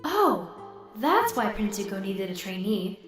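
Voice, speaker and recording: A woman's voice: mid-range voice, very animated, a little slow. Woman acting a fretful teenage girl, heavily echoing. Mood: worried realization. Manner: fretful, earnest inner monologue. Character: anxious fourteen-year-old girl, a military trainee. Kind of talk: audio drama acting. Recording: heavy echo on voice, music behind.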